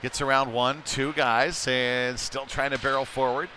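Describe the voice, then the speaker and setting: Bright-voiced man calling youth hockey. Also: medium pitch, flat delivery, a little slow, a little hiss or hum. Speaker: play-by-play hockey commentator. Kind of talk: live youth hockey play-by-play commentary. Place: indoor ice rink.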